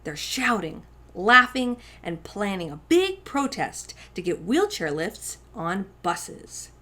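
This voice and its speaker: A woman's voice: mid-range voice, sing-song, takes it slowly, pushed and bright. A woman hosting children's storytime, reading a picture book aloud expressively, with dramatic emphasis on key words.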